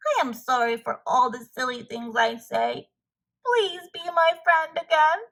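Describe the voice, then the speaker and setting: A woman's voice: high-pitched, a little slow, a bit bright, exaggerated voice. A woman voicing an anxious, devoted male toad in a children's story, earnest and emphatic. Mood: sad, pleading.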